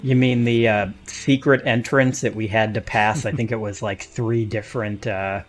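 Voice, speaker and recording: A man's voice: fairly low pitch, steady tone, moderate pace, faint music behind. A man hosting a podcast in casual live chat, with a hesitant, jokey way of talking. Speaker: podcast host.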